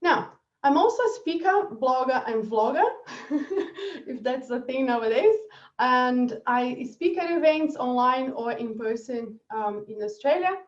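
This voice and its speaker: A woman from Brazil with a Brazilian accent, giving an energetic webinar presentation and laughing often. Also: high voice, unhurried, distant mic. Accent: Brazilian Portuguese. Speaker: marketing presenter. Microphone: video call. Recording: echoey dull video call audio.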